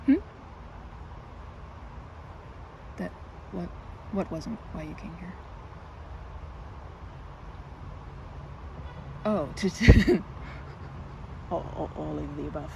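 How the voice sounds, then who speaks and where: A woman playing a timid, excitable park regular in an ASMR roleplay, stammering and chattering apologetically in a one-sided conversation. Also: sits low, unhurried, creaky, noisy background, heavily acted. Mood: shy, chatty. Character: timid, rambling young woman, excitable park regular who loves ducks.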